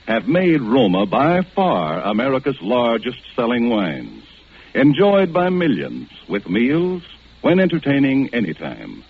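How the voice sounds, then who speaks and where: A man's voice: mid-range voice, a little hiss or hum. A man reading a 1940s radio advertisement as an announcer, with polished salesmanship. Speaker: commercial announcer. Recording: tinny, narrow-band vintage radio broadcast.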